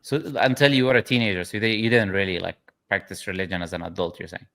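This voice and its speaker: A man hosting a live conversational debate, insistent and quick to interrupt, repeating his points to press them. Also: low voice, steady tone, vocal fry, pushed and bright. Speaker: host, religious debater.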